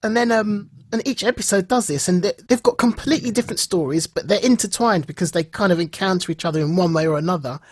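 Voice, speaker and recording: A man co-hosting a podcast, recounting a show in casual, animated chat and repeating phrases for emphasis. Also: high voice, quick pace, few pauses, husky, dry recording. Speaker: podcast co-host.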